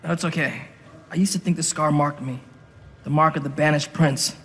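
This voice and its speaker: Man playing a brooding young prince, highish voice. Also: normal speed, lightly acted. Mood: brooding, resigned. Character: brooding, wounded young banished prince, scarred and self-doubting. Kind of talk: live script reading before an audience. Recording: echoey hall.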